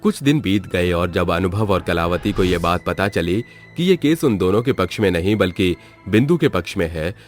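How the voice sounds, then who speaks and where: A man's voice: deep voice, expressive, normal speed, faint music behind. A man narrating a scripted moral story as its storyteller.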